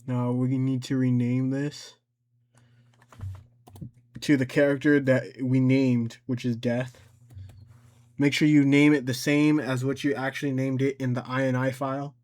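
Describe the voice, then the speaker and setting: A man giving an informal step-by-step software tutorial, thinking aloud and somewhat hesitant as he goes. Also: mid-range voice, level tone, measured pace, pauses often. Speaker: tutorial host.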